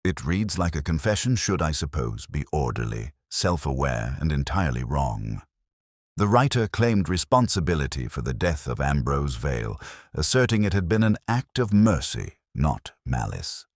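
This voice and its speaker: A man playing a weary, cerebral police inspector, narrating a detective audio drama in a measured, brooding, literary way with a gravelly voice. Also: very deep voice, very animated, no echo, clean studio sound, exaggerated voice.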